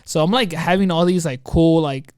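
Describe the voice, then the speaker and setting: A man co-hosting a podcast, telling a personal story in an excitable, rambling, slangy way full of quoted asides. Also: a little high, normal speed, smooth, no room sound, clean studio sound. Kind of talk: casual chat, anecdote storytelling.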